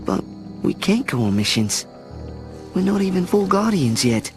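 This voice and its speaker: A man's voice: high-pitched, big pitch swings, normal speed, a little mellow. An actor voicing an eager young male owl who questions his orders, with game music behind. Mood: doubtful. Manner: questioning, earnest.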